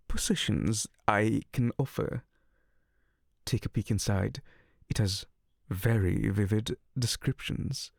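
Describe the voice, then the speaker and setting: Slow, low, gravelly male incubus, played by a man, teasing and predatory, dry close ASMR sound. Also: no room sound. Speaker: ASMR roleplay voice actor. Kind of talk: ASMR roleplay.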